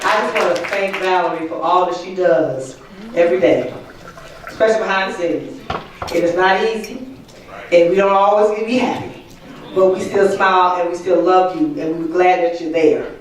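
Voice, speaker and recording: A woman's voice: on the low side, moderate pace. A woman host introducing the keynote speaker in a folksy, chatty way, joking with the audience. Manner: folksy, chatty, talks to the crowd. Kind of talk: casual introduction at an event. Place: large conference room. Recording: echoey hall, mic from far off.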